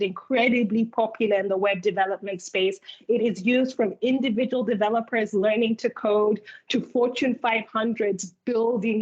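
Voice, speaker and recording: Ugandan-born woman presenting on a muffled call. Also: fairly high pitch. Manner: clear, organized presenter, speaking in lists. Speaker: keynote speaker and product manager. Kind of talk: presentation at a virtual hiring event. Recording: muffled video call audio.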